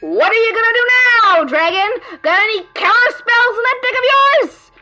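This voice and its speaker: Woman doing cocky mischief girl adventurer, high lively voice, taunting, theatrical, audio drama. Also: moderate pace, dull audio, heavily acted. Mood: gloating. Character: cocky, mischievous female card-playing adventurer, sharp-tongued.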